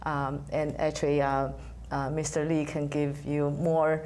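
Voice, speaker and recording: A woman's voice: low-pitched, level tone, unhurried, darkish tone, a little hiss or hum. A woman organizing a concert, answering interview questions in a chatty way with frequent fillers.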